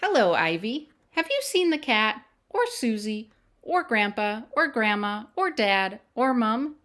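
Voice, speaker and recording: An earnest young child from a picture book, voiced by a woman, speaks in a high, swooping lilt. Every line is very polite and carefully put, with questions that rise at the end. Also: sing-song, a little slow, a bit bright. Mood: earnest, curious.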